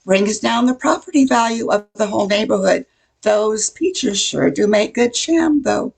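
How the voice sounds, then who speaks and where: A woman's voice: mid-range voice, animated, a little slow, gravelly, husky, heavily acted, low-quality recording. An older woman playing a nosy, gossipy welcome-wagon neighbor in a radio comedy, chatty and full of insinuation. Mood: chatty, judging. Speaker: amateur radio play performer. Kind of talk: old-time radio comedy acting.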